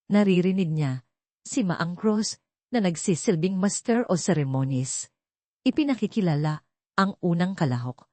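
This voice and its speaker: Female storyteller, low-pitched and soft-toned, dry close sound, scripted drama narration. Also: no room sound.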